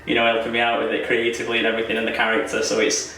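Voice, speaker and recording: A young man talks quickly in a loose, rambling way, often poking fun at himself and laughing. His voice sits at an ordinary pitch but comes from some distance off the mic, and it bounces around a very echoey room. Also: monotone, bright, forceful tone, a little hiss or hum. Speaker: wrestler interviewee. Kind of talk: casual interview chat.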